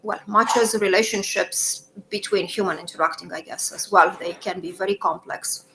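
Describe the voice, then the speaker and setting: A woman from Romania, an English speaker, answering interview questions thoughtfully over a video call, pausing with fillers and laughing easily. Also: medium pitch, steady tone, pushed and bright, slight background noise, poor audio. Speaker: author guest.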